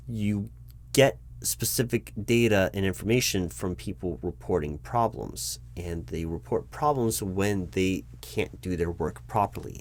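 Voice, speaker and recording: Man playing a low-voiced, exasperated recruiting manager. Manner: halting, repetitive, explanatory, insistent. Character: flustered, exasperated male human resources recruiting manager, lecturing his boss. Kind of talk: one-sided improvised audio roleplay, loud spoken.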